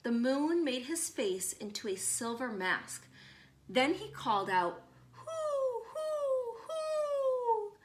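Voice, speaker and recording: Female storyteller for children's read-aloud: unhurried, expressive, fairly high voice, echoing room. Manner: measured, expressive storytelling with deliberate pauses.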